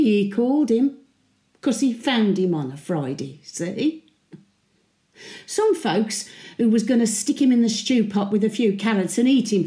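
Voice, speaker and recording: A woman's voice: a little high, dark tone. Echoey, feisty old Brummie widow played by a woman. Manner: chatty, wry, colloquial storytelling. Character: feisty, sentimental centenarian widow, former Birmingham market trader. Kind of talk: dramatic monologue addressed to her late husband.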